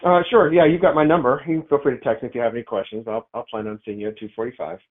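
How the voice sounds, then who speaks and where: A man talks casually over a narrow telephone connection. His voice sits at an ordinary male pitch, and he sounds easygoing and a little hesitant, working out his answers as he speaks. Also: steady tone, very fast. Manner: hesitant, thinking aloud, easygoing. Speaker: property owner, caller. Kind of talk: casual phone chat. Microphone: phone call. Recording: narrow, tinny phone line.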